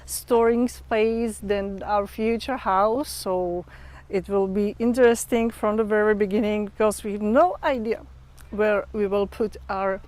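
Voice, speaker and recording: A young adult woman with a Czech accent, a non-native English speaker hosting a casual livestream and often pausing to search for words. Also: medium pitch, fairly even intonation, a little mellow, poor audio. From Czech Republic. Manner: hesitant, searching for English words with many fillers. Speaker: livestream host. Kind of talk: casual livestream chat with viewers.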